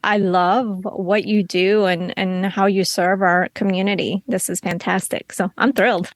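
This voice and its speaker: A woman's voice: medium pitch, flat delivery, measured pace, no echo, clean studio sound. Colombian-born woman, reflective guest. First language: Spanish. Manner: warm, reflective storytelling with frequent restarts. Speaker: podcast guest, financial counselor. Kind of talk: casual interview conversation.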